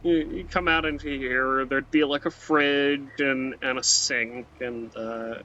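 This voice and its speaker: A man's voice: mid-range voice, steady tone, slow pace, pushed and bright. A man giving rambling, casual commentary while playing a game, often tacking on "right?" and restarting his sentences. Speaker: let's play host. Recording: muffled with faint background hiss.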